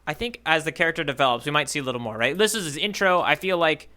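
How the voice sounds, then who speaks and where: A man's voice: on the high side, expressive, fast, sharp tone. A man co-hosting a podcast in casual conversation, reflective and rephrasing his thoughts as he goes. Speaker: podcast co-host.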